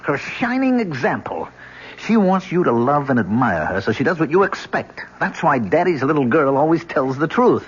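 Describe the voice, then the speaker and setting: An American actor playing an embittered, defiant young convict in an old radio drama, with a sarcastic, mocking delivery. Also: male voice, fairly high pitch, very animated, moderate pace, breathy edge. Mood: bitter accusation. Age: young adult. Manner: sarcastic, sneering. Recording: muffled, faintly hissy old radio recording.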